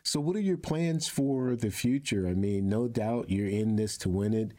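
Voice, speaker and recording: Deep, dark-voiced older male interviewer. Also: moderate pace. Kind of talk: casual conversational interviewing.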